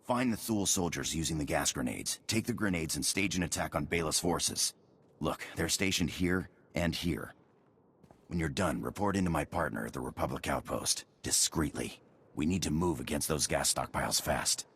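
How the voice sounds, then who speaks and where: Furtive game spy, extremely low voice, heavy rasp, clipped, conspiratorial. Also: male voice, normal speed, exaggerated voice. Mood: secretive, urgent. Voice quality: very gravelly, raspy. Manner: clipped, hushed, secretive. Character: furtive paranoid male spy agent, conspiratorial.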